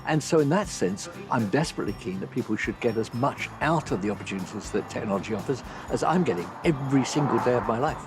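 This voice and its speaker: A man's voice: mid-range voice, expressive, fast talker, few pauses, music in the background. A man, speaking earnestly and eloquently as the guide of a documentary series. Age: 70s. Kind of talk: reflective documentary interview.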